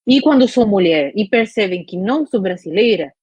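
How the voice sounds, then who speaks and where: A woman's voice: medium pitch, lively intonation, moderate pace, a little mellow, husky, no echo. A woman from Argentina, talking frankly and venting in a casual podcast chat. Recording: dull lo-fi dry recording.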